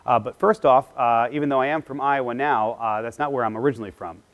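Man, 40s, keynote speaker, lapel mic, lecture hall, conversational, self-deprecating, filler-heavy, middle pitch. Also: quick pace. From Philadelphia area, US East Coast. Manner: gestures with a clicker while pacing the stage. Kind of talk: conference keynote talk. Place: large lecture hall with projection screen.

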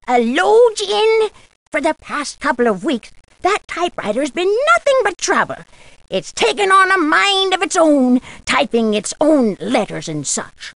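A feisty old woman from a detective game. Her voice is very high and swoops up and down theatrically. She comes across as quirky and a little muddled. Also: creaky, a bit bright, no echo, full character voice. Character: eccentric, feisty, muddled old lady prop keeper. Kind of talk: video game character dialogue.

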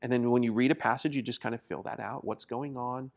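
A man's voice: mid-range voice, fairly even intonation, fast talker, creaky. A man in his forties who grew up in Indonesia, teaching a sermon to camera in an earnest, encouraging way, often restarting his words. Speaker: pastor. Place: small studio set.

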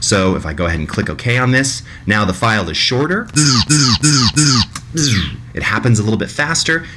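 A man in his thirties narrating a software tutorial in a conversational, demonstrative way, recorded indoors in a small room. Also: fairly low pitch, normal speed, over a noisy crowd. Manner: animated, demonstrative, mimics sounds vocally.

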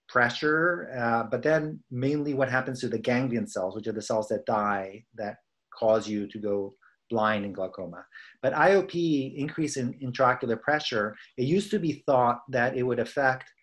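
A middle-aged man with an American accent, a scientist giving an informal, halting, conversational lecture over a video call. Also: mid-range voice, fairly bright tone. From United States, East Coast. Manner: halting, conversational, self-correcting. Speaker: professor and research scientist presenting.

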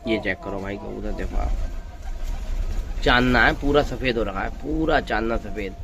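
A man's voice: fairly low pitch, moderate pace. A man vlogging in an open courtyard, chatting casually to his viewers and calling them friends as he films from a phone. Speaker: pigeon-keeping vlogger. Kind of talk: casual show-and-tell narration. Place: open-air courtyard full of pigeons. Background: pigeons cooing and fluttering.

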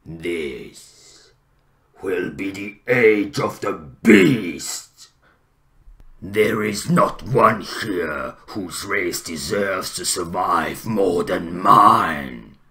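A man voicing a brooding, eloquent, bitter troll king for fantasy game lines, gravelly and theatrical. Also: sharp tone, heavily acted. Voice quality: deep, gravelly. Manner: slow, theatrical, grandiloquent.

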